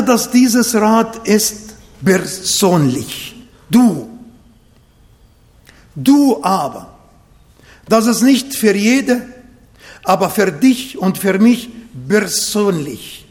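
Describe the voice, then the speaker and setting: A man with an Arabic accent preaching a sermon and praying, slow and emphatic, repeating phrases to his congregation. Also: sits high, big pitch swings, a little mellow, in a large hall. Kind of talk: sermon with prayer. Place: church hall, livestreamed service.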